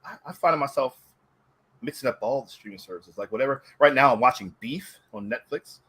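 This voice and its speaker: A man's voice: mid-range voice, brisk, bright, forceful tone, breathy edge. A man chatting casually as a panelist on a movie talk show, speaking in an enthusiastic, digressive way. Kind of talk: casual rambling chat.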